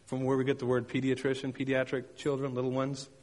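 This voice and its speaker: A man's voice: mid-range voice, completely flat delivery, fast, darkish tone. Man preaching in an echoing hall. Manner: storytelling with sound effects, emphatic repetition. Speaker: pastor. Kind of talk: sermon with personal stories.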